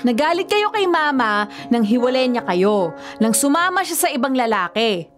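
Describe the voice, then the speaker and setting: Reproachful Filipino daughter, highish female voice, insistent, scolding, radio drama, music behind. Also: big pitch swings, moderate pace. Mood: indignant. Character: indignant, reproachful adult daughter pleading with her father.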